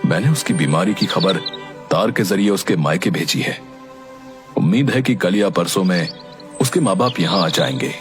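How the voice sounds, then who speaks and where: A grave village elder from an animated tale, played by a man. His fairly low voice swings expressively through measured phrases, over clear background music. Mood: concerned.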